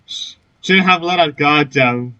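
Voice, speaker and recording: A man's voice: fairly high pitch, measured pace, sharp tone, highs cut off, slight background noise. A man giving casual gameplay commentary as a gaming video creator, with muttered, hesitant asides.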